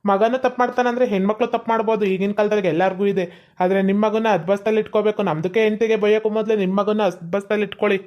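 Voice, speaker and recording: Brief: a man as a brash, fast-talking guy mangling his Kannada, dull lo-fi sound. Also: high-pitched, fairly even intonation, natural voice. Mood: arguing. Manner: odd broken phrasing, cheeky. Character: brash young man speaking broken Kannada. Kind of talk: comedy skit acting.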